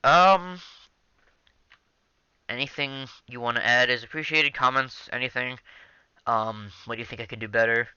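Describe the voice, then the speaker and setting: Young guy chatting into a headset, super bright crisp voice, kinda lo-fi, lots of ums. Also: mid-range voice, normal speed, pauses often, no echo. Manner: rambling, many ums, self-interrupting. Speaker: gaming channel host. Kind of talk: casual gameplay commentary.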